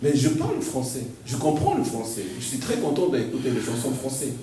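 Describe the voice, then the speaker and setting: Male pastor, stop-start delivery with frequent laughs, mellow voice; distant mic in an echoey church hall. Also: medium pitch, wildly swooping pitch. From Argentina. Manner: halting, repeats words, laughs often. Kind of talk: sermon.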